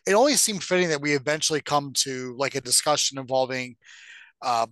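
A middle-aged man co-hosting a podcast, talking casually and haltingly, with some swearing. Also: mid-range voice, fairly even intonation, a bit bright, dry recording. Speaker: podcast co-host. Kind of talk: casual discussion.

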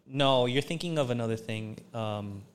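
A man chatting on a podcast in a sarcastic, teasing way. His voice is a plain middle pitch, and his remarks come out dry and mocking. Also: normal speed, clean tone. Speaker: podcast co-host. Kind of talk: casual chat.

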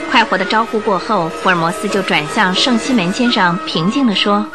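A woman giving expressive, theatrical storytelling narration for a radio drama. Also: medium pitch, a little fast, music in the background.

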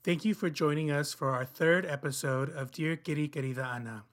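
A man with a slightly high voice reads as an announcer. The delivery is scripted narration for an audio series. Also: fairly quick, fairly bright tone.

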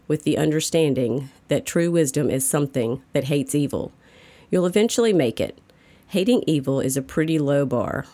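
A woman's voice: low voice, dark tone. A woman reads a prepared devotional reflection. Her delivery is that of a scripted reader, at an ordinary pace with a moderate tone. She speaks as the narrator of a daily faith reading.

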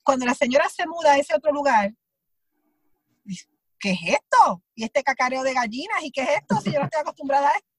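A Puerto Rican woman with a high voice tells her stories with animation. She slips into little acted quotes of her own thoughts. The sound is close and dry, with no room around it. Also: animated, fast talker, no room sound, rough recording. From Puerto Rico. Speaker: actress, guest. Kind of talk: conversational interview.

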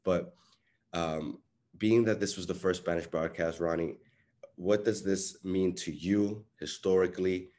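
A man's voice: low-pitched, steady tone, a little slow, warm tone. A man asking a rambling, hesitant interview question, recorded a bit far from the mic.

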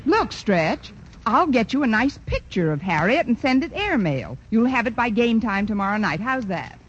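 An actress playing a dry, sardonic but kindly schoolteacher in a 1940s radio sitcom. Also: female voice, fairly high pitch, very animated. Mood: wry. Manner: sardonic, quick with quips. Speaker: teacher. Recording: lo-fi hissy old radio broadcast.

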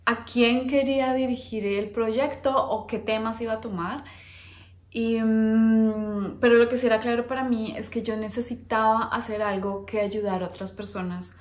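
Woman in her 30s chatting, lots of ums, echoey room. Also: mid-range voice. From Colombia. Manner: chatty, with frequent hesitations and laughs. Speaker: podcast host. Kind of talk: casual personal talk.

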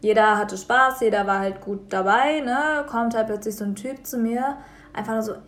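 A young woman from Germany co-hosting a radio talk show, telling everyday stories in a lively, casual way and acting out the people in them. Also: on the high side, normal speed. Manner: lively storytelling, acts out quoted people.

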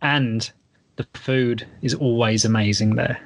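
A man's voice: mid-range voice, steady tone, unhurried, gravelly. A man hosting a golf podcast in a casual, friendly chat with light teasing. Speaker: podcast host.

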